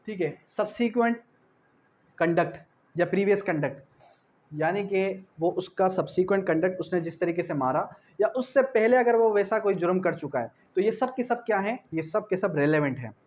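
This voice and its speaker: High-pitched Pakistani man lecturing. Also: highs cut off. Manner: didactic, repeats key phrases and asks rhetorical questions. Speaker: law tutor. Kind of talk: exam-prep lecture mixing Urdu with English legal terms.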